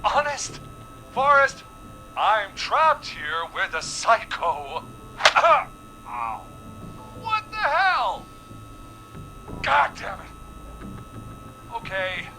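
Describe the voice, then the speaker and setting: Slow, defensive hostage man. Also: sits high, wildly swooping pitch, sharp tone, slight background noise, full character voice, poor audio. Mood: agitated, frightened. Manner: halting, defensive, evasive. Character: trapped, defensive, self-justifying man held by a killer. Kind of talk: scripted game voice acting.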